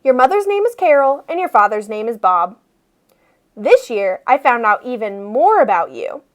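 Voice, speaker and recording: A woman voicing an enthusiastic schoolteacher who is fond of her pupils and speaks in emphatic, deliberate lists. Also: fairly high pitch, sing-song. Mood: knowing, excited.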